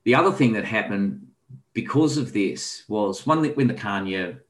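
A man's voice: lowish voice, expressive, moderate pace. An Australian man telling a long travel story in casual chat, with many ums and restarts. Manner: rambling with frequent ums and restarts. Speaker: guest.

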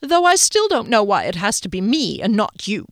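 A woman voicing a timid, fussy grown man who whimpers and frets in a high, whining voice. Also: sing-song, normal speed, dry recording, clean studio sound. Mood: perked up.

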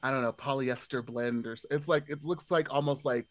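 A man's voice: mid-range voice, steady tone, fairly quick, no echo. A man in casual podcast conversation, chatty and hesitant, padding his thoughts with lots of filler words. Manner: chatty, hesitant, many "like" hedges. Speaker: podcast panelist.

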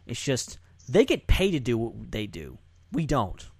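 A man's voice: mid-range voice, wildly swooping pitch, unhurried, gravelly, slightly rough, no echo, clean studio sound. A man hosting a podcast in casual, informal chat, repeating short phrases for emphasis. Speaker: podcast host.

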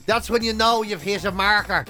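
High-pitched Irish man chatting. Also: moderate pace, soft background music. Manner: animated, self-mocking, swearing casually. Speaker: podcast co-host. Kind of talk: casual comic chat.

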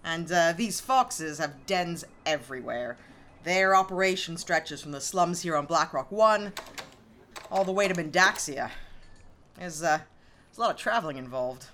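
Brash female sergeant for sci-fi audio drama: lowish voice, very animated delivery, chummy and teasing. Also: measured pace, a little hiss or hum. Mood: cocky, upbeat. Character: cocky, gregarious female squad boss in space. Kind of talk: science fiction audio drama acting.

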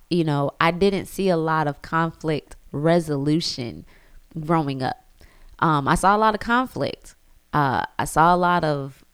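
A woman's voice: low voice, gravelly, clean tone, no room sound. A young woman thinking aloud in a personal reflective monologue, full of fillers and restarts. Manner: thoughtful, frequent fillers and restarts. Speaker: reflective speaker.

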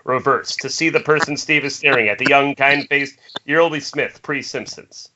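A man's voice: mid-range voice, normal speed, sharp tone. A man narrating screenplay directions for a table read, recorded over an internet call. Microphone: remote video-call microphone. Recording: muffled internet call, faint noise.

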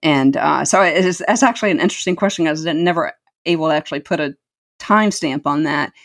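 A woman's voice: sits low, quick pace. A middle-aged woman telling her life story as an interview guest, earnest and reflective, repeating words for emphasis.

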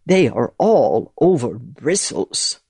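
A woman's voice: rumbling low, very animated, takes it slowly, warm tone, husky, no echo, heavily acted. A woman voicing a greedy, easygoing male toad who hums his words in a lilting sing-song.

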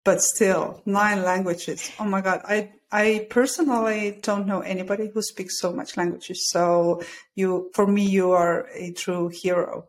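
A woman's voice: mid-range voice, fairly even intonation, unhurried, poor audio. A woman from Estonia with an Estonian accent, hosting a podcast interview with enthusiastic questions. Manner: enthusiastic, laughing easily. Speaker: podcast host.

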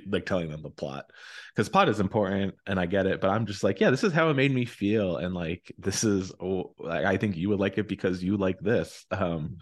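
Deep-voiced man, informal and rambling. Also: moderate pace. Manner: informal, rambling, self-correcting. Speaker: podcast host. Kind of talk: casual conversational book interview.

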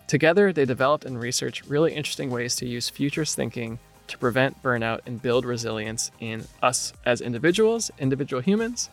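A man's voice: medium pitch, gravelly, a bit bright. A man hosting a design podcast, reading an upbeat scripted intro and then chatting casually with his guest. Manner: upbeat, reads announcements then chats loosely. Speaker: podcast host.

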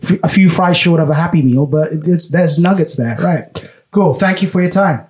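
A man's voice: on the high side, moderate pace, a little mellow. A man hosting a podcast, chatting casually in an easygoing, joking manner. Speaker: podcast host. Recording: echoey room.

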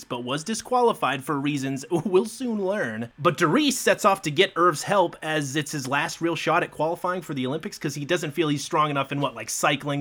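Young male podcast co-host, bright and slightly high voice, animated and profane movie recap. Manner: animated, swearing, laughs often. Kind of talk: casual movie recap chat.

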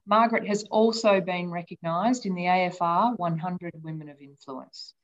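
Lower-voiced woman podcast host, muffled sound. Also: fairly even intonation, normal speed. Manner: enthusiastic, earnest. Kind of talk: scripted intro then interview questions. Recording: dull, lo-fi sound.

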